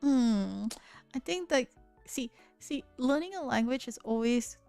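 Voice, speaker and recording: A woman's voice: on the high side, a little mellow, clear, resonant tone. A woman hosting a livestream, chatting casually with viewers at a desk microphone and often stammering over her words. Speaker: livestream host. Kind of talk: casual chat reading viewer messages. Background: faint background music.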